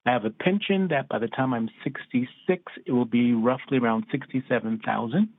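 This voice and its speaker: A man's voice: mid-range voice, fairly quick, no room sound. A man in his sixties phoning in to a radio show and listing his numbers one after another. His voice comes through a thin, tinny phone line. The sound is dry, with no room around it. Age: 60s. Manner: reads out figures methodically. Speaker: caller. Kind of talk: phone-in caller.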